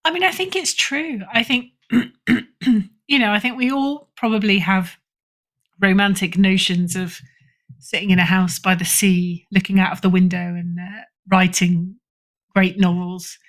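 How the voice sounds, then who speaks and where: A woman with a medium-pitched voice speaks as a guest in a casual interview. She is thoughtful in how she explains things, pausing to give weight to key words. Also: steady tone. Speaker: podcast guest, fiction editor.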